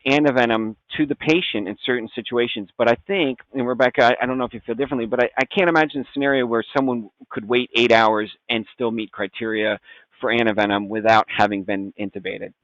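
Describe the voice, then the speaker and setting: An American man talking casually as a medical expert guest, with halting, stop-start, conversational phrasing. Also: mid-range voice, fairly even intonation, fairly bright tone, no room sound, muffled recording.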